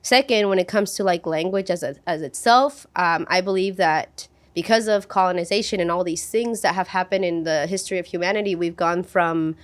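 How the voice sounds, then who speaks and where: Earnest woman in her thirties, slightly low voice. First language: Spanish. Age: 30s. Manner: earnest, halting with many fillers. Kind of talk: casual podcast discussion.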